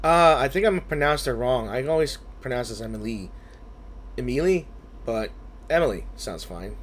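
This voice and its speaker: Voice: medium pitch, normal speed. A gaming streamer giving casual, reactive commentary over a game and reading dialogue along. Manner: animated, reactive. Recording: game music faintly behind.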